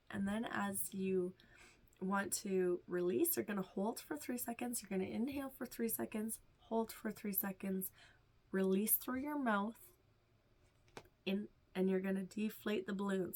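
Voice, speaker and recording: A woman with a stuffed-up, nasal voice gently teaching meditation to beginners, reassuring and sometimes dropping to a whisper. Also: medium pitch. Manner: soft, reassuring, drifts into whispers. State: stuffed-up nose. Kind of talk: casual instructional talk.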